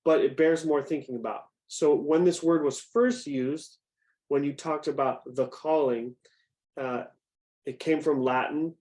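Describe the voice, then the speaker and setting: A man's voice: fairly high pitch, fairly even intonation, unhurried, darkish tone. A young man, a youth program director, giving a conversational talk on faith and often putting questions to his listeners. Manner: conversational, asks the audience questions. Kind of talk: interactive talk to a group.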